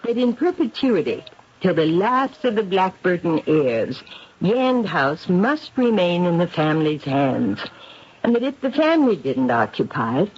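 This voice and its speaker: A woman's voice: on the low side, very animated, darkish tone, full character voice. A woman playing a guilt-ridden titled lady devoted to spiritualism, confiding dramatically in a 1970s radio drama. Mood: grave, confiding. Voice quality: husky. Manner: confessional, dramatic, measured. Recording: muffled vintage radio broadcast.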